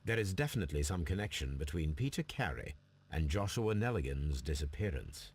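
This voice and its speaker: A man playing a composed, analytical middle-aged Victorian detective in a video game, speaking formally and deliberately with a British accent. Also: a bass voice, big pitch swings, background noise. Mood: focused inquiry. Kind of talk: video game dialogue and musing aloud.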